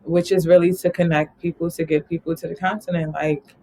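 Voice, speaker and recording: A woman's voice: fairly low pitch, flat delivery, brisk, dark tone, rough recording. A woman from New York talking casually as an interview guest, rambling and laughing often. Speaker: guest, travel company founder.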